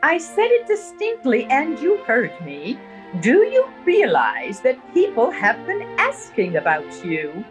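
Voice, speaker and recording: Snobbish older aunt, 1930s radio play: prim, impatient, wildly animated intonation, unhurried delivery. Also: female voice, high-pitched, full character voice. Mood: scolding, exasperated. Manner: prim, haughty, fretful. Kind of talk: 1930s radio drama acting. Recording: 1930s radio recording with music.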